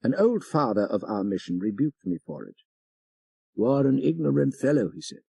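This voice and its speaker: A man voicing a fervent French missionary priest telling his tale with emphatic, ironic pauses, as in a dramatic audiobook. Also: medium pitch, lively intonation, vocal fry, mellow, breathy edge, no room sound. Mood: earnest. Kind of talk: dramatic first-person storytelling in an audiobook.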